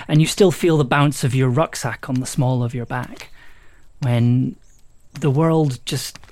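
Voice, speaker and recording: A man playing a reflective submariner who loves hiking, in a lyrical, unhurried audio drama monologue. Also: medium pitch, darkish tone, lightly acted. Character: reflective hill-walking submariner on shore leave.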